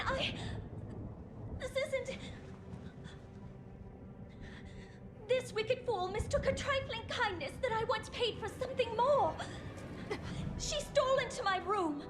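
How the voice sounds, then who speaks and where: An actress playing a young highborn duchess in a video game, dramatic and anxious, with formal, archaic, theatrical delivery. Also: female voice, lively intonation, measured pace, music in the background. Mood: pleading.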